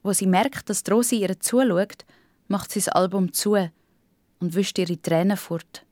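A woman's voice: mid-range voice, a little slow, a little mellow, no echo, clean studio sound. A woman with a Swiss accent narrating a scripted children's story as part of a radio play.

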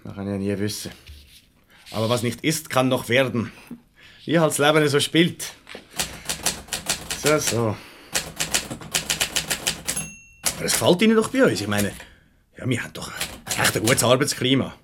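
An actor with a Swiss German accent playing an awkward, patronising middle-aged married boss in a radio comedy, hemming and ingratiating. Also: male voice, medium pitch, big pitch swings, moderate pace, long pauses, noisy background. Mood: jovial, probing. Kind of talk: light radio comedy play acting.

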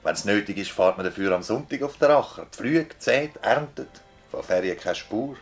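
An actor playing an authoritative public prosecutor with a Swiss German accent, brisk and formal, in a radio drama. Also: male voice, on the low side, lively intonation, faint music behind. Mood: musing. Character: authoritative male public prosecutor questioning village witnesses. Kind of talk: radio drama acting in dialect.

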